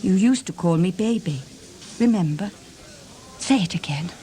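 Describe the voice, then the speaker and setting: An actress playing a needy, manipulative, volatile wife in a radio drama, theatrical, shifting from wheedling to barbed. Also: female voice, on the low side, lively intonation, normal speed, mellow, lightly acted. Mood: seductive. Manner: theatrical, wheedling, turning from breathy coaxing to barbed.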